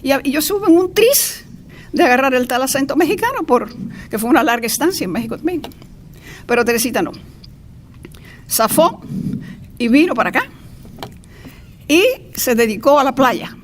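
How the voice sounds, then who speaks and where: An older Cuban woman with a fairly high voice introduces a speaker to an audience. She talks in a chatty, digressive way with playful asides. There is light noise in the live recording. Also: big pitch swings, moderate pace, long pauses. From Cuba. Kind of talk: informal introductory speech to an audience. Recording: noisy live event recording.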